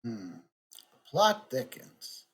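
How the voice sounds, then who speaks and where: A man giving casual playthrough commentary as a game streamer, thinking aloud with halting repetitions. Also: mid-range voice, big pitch swings, slightly rough.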